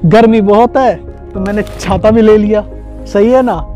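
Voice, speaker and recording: A young man from India narrates his walk through sunny streets in a chatty travel-vlog manner. His voice sits high, and music runs clearly beneath it. He is recorded outdoors on an earbud mic with the phone held at arm's length. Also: steady tone, normal speed, mellow. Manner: chatty, talks straight to the camera while walking. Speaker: vlogger. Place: sunny city streets and a bus stop, outdoors. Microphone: wireless earbud mic, phone held at arm's length.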